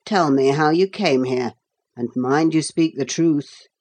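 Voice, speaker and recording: A woman's voice: deep voice, measured pace, darkish tone, slightly rough. A woman playing a stern, condescending male army captain with a brusque, authoritative manner for a storybook.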